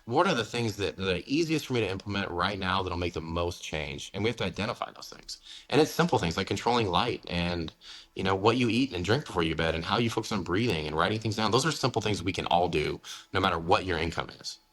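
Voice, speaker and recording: Deep-voiced, fast-talking blunt American man. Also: lively intonation, fairly bright tone, poor audio. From United States. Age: 40s. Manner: blunt, candid, peppered with "man" and "you know". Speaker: guest, fitness coach. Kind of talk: casual podcast interview.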